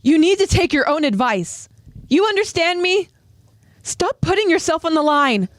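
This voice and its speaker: A woman voicing an earnest, insistent young heroine in an animated story, emotional and pleading. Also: very high voice, normal speed, no echo.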